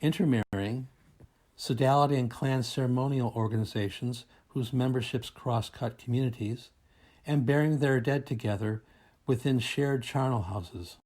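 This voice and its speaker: A man reading a scripted academic presentation aloud in a formal, measured and deliberate manner. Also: medium pitch, darkish tone. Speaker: academic researcher presenting a paper.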